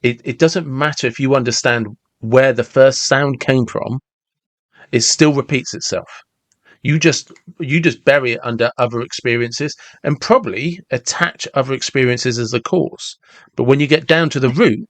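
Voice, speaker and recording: A man's voice: mid-range voice, moderate pace, dry recording. A man in his forties talking reflectively and casually as a podcast host, often repeating and rephrasing himself as he goes. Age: 40s.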